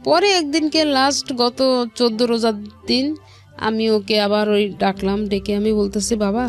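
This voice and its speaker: Middle-aged Bangladeshi woman, high voice, earnest interview guest recounting her life, repeating phrases, music underneath. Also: steady tone, moderate pace. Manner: earnest, repeats phrases, acts out quoted speech. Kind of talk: personal storytelling in an interview. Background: background music.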